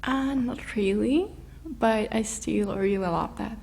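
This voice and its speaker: A young woman from Russia, a guest in a casual interview, talking haltingly as she searches for words in English. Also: fairly high pitch, vocal fry, a little mellow, slightly rough.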